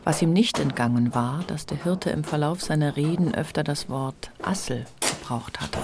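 A man's voice: fairly high pitch, moderate pace, vocal fry, background noise. A man giving scripted literary narration in a radio play.

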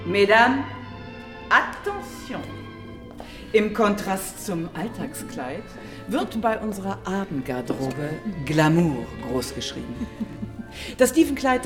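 Affected fashion-show madame, radio drama, slow showy delivery over music. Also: female voice, lowish voice, very animated. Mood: grand, showy. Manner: showy, French-sprinkled announcing. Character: grand, affected fashion-salon madame presenting gowns.

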